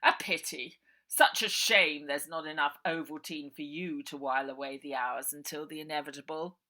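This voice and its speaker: A woman's voice: sits low, steady tone, moderate pace, pushed and bright, low-quality recording. A woman voicing a sinister middle-aged uncle in a storybook, pompous, condescending and menacingly polite. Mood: mocking.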